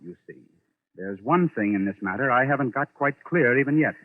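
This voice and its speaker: An actor playing a shrewd, urbane gentleman sleuth in a 1940s radio drama, theatrical and deliberate. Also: mid-range voice, expressive, close to an everyday voice. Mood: probing, wry. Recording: muffled vintage 1940s radio recording.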